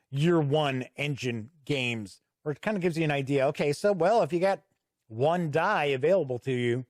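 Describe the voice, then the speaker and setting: Casting: man, middle-aged, a little above average pitch, conversational tabletop reviewer explaining things plainly, very dry recording. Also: no echo. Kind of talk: casual review commentary, explaining rules.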